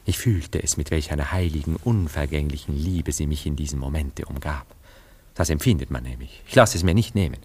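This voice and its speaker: A man's voice: very deep voice, a little fast, darkish tone, a little hiss or hum. An actor playing a dreamy, vain, romantic man of society in a literary radio play, musing and self-absorbed. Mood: rapturous, wistful.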